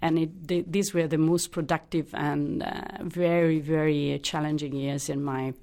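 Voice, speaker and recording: Low-voiced Italian woman doctor. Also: fairly even intonation, dark tone. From Italy. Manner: thoughtful, halting, many restarts and fillers. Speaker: hematologist guest. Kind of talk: interview, explaining her career.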